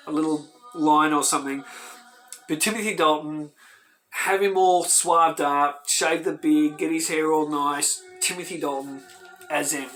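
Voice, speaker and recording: A man hosting his own fan channel, talking to camera with excited, emphatic enthusiasm and bursts of emphasis. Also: a little high. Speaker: host of a fan video channel. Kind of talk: enthusiastic casual talk to camera.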